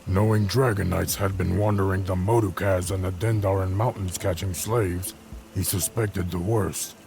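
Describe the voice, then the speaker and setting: A man voicing a gruff dwarf ex-gladiator recounting adventures in a gravelly voice, in a fantasy audio story. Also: low-pitched, fairly even intonation, normal speed, darkish tone. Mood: matter-of-fact.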